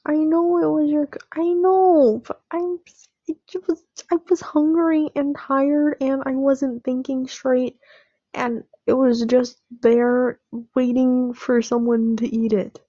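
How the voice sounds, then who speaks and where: A woman's voice: high-pitched, level tone, takes it slowly, dark tone, no echo, highs cut off. A woman playing a sheepish young boyfriend in a roleplay audio drama, stammering and pleading apologetically. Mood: panicked pleading.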